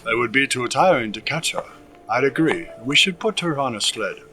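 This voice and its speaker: A man's voice: mid-range voice, expressive, normal speed, background noise, natural voice. A man playing a practical, dismissive pallbearer in an audio drama, talking in a matter-of-fact way. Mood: skeptical.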